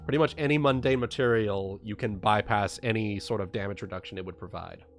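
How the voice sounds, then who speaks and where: A man narrating and explaining a tabletop game as game master, in a halting way with repeated phrases. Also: lowish voice, soft background music.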